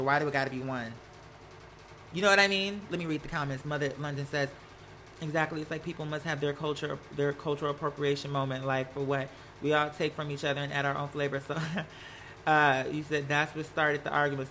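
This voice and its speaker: A man's voice: a little high, sharp tone, slight background noise. An American man hosting a livestream, chatting casually and giving opinions in an emphatic, slangy, conversational way. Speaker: livestream host. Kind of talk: casual opinionated chat to live viewers.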